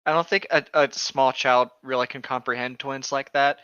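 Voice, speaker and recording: Dull lo-fi recording, bright-voiced sardonic man. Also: mid-range voice, fairly even intonation, moderate pace, clear, resonant tone, no echo. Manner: sweary, sardonic, jokey. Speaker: co-host of a comedy reading show. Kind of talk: casual banter and mocking read-aloud of internet horror stories. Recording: dull, lo-fi microphone sound.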